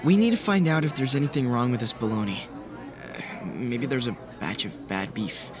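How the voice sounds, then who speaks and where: A man voicing a calm, thoughtful, kindly teenage boy in a game dub. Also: mid-range voice, moderate pace, a crowd in the background, close to an everyday voice. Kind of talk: game fandub acting. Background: guitar music.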